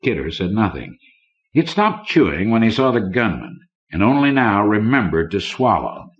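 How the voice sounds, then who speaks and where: Very deep-voiced male audiobook narrator. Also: big pitch swings, moderate pace. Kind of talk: scripted fiction narration. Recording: dull lo-fi old cassette recording.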